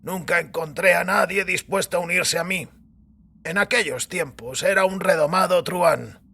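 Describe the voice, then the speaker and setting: A man playing an aging, stubbornly faithful priest with a husky voice and courteous, formal speech. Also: high-pitched, normal speed, sharp tone, exaggerated voice. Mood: wistful. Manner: formal, courteous, archaic address.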